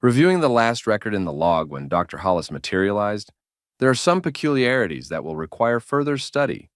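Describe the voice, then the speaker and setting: A man's voice: lowish voice, sing-song, brisk, no echo, studio quality. A man playing a courteous, formal scientific investigator who is keen on puzzles in a sci-fi audio drama. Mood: intrigued.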